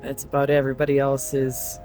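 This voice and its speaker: A man from Croatia who drives trucks, answering interview questions earnestly with frequent pauses. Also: medium pitch, monotone, dark tone, faint music behind, low-quality recording.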